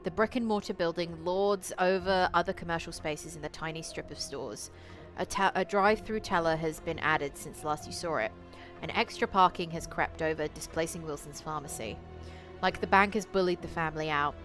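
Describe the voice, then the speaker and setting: Low-voiced woman streaming a story game. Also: moderate pace. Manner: swears casually, playful asides to chat. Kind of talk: live stream commentary and reading visual novel aloud. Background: eerie game music.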